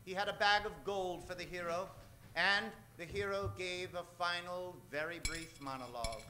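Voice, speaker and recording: A man's voice: high-pitched, normal speed, noisy background. A man narrating a comic old-time radio serial as host, in a dramatic, mock-serious manner.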